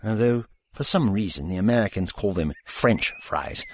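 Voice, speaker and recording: An actor playing an eccentric, absent-minded old time traveler with fussy, courtly phrasing in an audio drama. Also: male voice, lowish voice, animated, moderate pace, slight background noise, close to an everyday voice. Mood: fussy, breezy.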